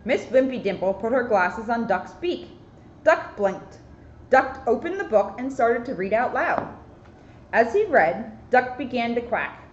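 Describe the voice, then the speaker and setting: Lady cop doing storytime, really lively voice, kinda muffled and roomy. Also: on the high side, a little slow. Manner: expressive, chatty. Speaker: police officer reading to children. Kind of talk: animated picture-book read-aloud with casual asides. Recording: roomy echo, muffled, faint noise.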